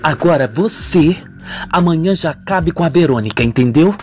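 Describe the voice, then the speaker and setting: A man's voice: a little high, lively intonation, moderate pace, darkish tone, soft background music, natural voice. A man voicing a scheming, ambitious young woman villain, coaxing, playful and mocking, in a radio soap. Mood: mocking, bossy.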